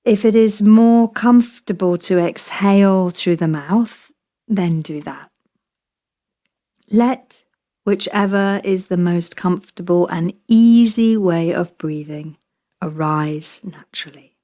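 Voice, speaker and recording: A woman yoga nidra teacher leading a guided relaxation meditation in a soothing, measured way, leaving long pauses between short phrases. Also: fairly low pitch, dark tone.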